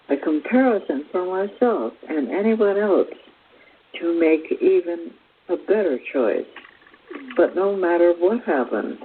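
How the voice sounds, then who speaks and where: A man giving a spiritual lecture as a teacher, in deliberate, halting phrases with measured emphasis. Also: fairly high pitch. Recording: hissy, tinny phone-like recording.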